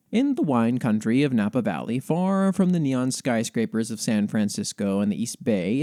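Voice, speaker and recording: A man hosting a podcast, reading fantasy lore in an expressive, measured storytelling way with casual commentary. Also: medium pitch, dry recording, clean studio sound. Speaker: podcast host.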